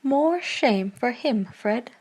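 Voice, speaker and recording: A woman playing an indignant, teasing young wife in a classic radio play, emphatic and disapproving, with a breathy voice. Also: a little high, animated, slow pace, a little mellow, heavily acted. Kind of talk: classic radio drama acting.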